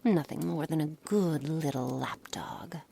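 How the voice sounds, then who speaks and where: Low-voiced, menacing witch played by a woman. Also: dark tone, heavily acted. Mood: menacing, taunting. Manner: formal, deliberate diction, condescending, often dropping to breathy near-whispers. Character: cold, menacing, curious witch experimenting on her captive pet. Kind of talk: ASMR roleplay, scripted dramatic monologue. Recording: noisy background ambience.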